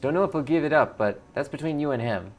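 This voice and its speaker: Man voicing a careworn, grieving woman, creaky voice. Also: fairly high pitch, expressive, quick pace, a little hiss or hum, voice pushed up, low-quality recording. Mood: sad, guarded. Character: careworn, grieving woman in her thirties, wary.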